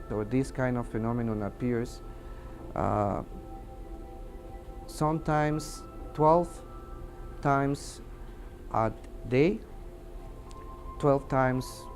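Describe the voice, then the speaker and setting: A man's voice: medium pitch, darkish tone. A man with a Mexican accent, a native Spanish speaker, giving testimony as an air traffic controller in hesitant, broken English, searching for words. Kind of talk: interview testimony in halting English. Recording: music under the voice. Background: music.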